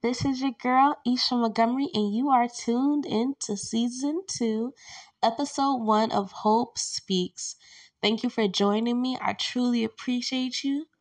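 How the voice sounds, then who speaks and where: Young woman, podcast host, gravelly voice, stuffy and congested, casual, chatty, candid. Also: a little high, steady tone, a little slow. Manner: chatty and candid, with laughs and fillers. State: congested from allergies, lying down. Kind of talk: casual solo podcast chat.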